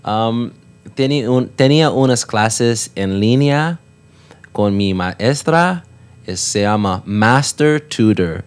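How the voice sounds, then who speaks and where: A man's voice: lowish voice, expressive, slow, clear, resonant tone. A man whose first language is English, chatting as a podcast guest in hesitant learner's Spanish, full of fillers and self-corrections. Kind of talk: casual chat.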